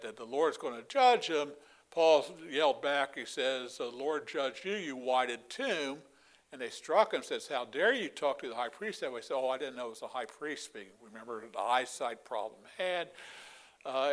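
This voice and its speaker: Slow folksy preacher. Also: male voice, mid-range voice, fairly bright tone. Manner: slow, folksy, many fillers and asides. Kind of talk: Bible teaching sermon.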